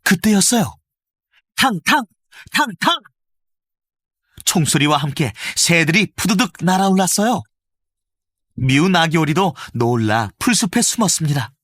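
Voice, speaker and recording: Expressive male storybook narrator. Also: on the high side, unhurried, pauses often, no echo, clean studio sound. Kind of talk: scripted storybook narration for children.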